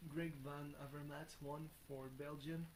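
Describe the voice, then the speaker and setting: A young man from France with a booming voice, rambling through a casual vlog from his bedroom, full of fillers and restarts, swearing now and then. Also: little pitch movement, measured pace, darkish tone, a little hiss or hum, rough recording. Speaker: vlogger. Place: small bedroom with reverb.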